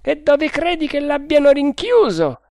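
A reader doing a nervy male soldier in an audiobook, swapping hushed talk about a prisoner with a comrade. The voice sits high and a little pushed, with very dry sound. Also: very animated, unhurried, no room sound, heavily acted. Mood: conspiratorial.

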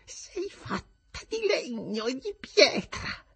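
A bitter, half-mad old woman, as a male narrator puts her on, wailing in a piercing, extremely high voice. She stretches every word slowly in theatrical outbursts, her tone swooping wildly up and down with a gravelly rasp. An audiobook voice soaked in grievance. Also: very animated, sharp tone, heavily acted. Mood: accusing. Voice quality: shrill, wailing. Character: bitter, furious old woman, wild with grief. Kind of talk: audiobook reading of a classic novel.

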